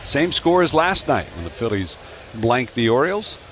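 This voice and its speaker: A man's voice: mid-range voice, big pitch swings, moderate pace, fairly bright tone. American baseball play-by-play man, ballpark noise behind. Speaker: baseball radio play-by-play announcer. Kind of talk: live sports commentary with casual banter. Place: stadium broadcast booth open to the field. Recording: clear outdoor ballpark ambience noise.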